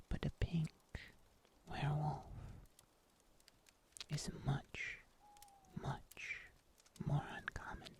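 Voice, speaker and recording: A performer voicing a calm, world-weary werewolf huntsman in a breathy, hushed whisper for a fantasy ASMR roleplay, deliberate and full of pauses. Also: medium pitch. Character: world-weary pink-furred werewolf huntsman, monster slayer, calm loner.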